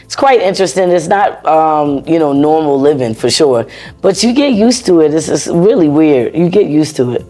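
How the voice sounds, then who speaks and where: Animated American woman telling her story. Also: low-pitched, normal speed, soft background music. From United States. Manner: animated, repeats words, laughs often. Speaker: interview guest, former soldier. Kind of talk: casual conversational storytelling.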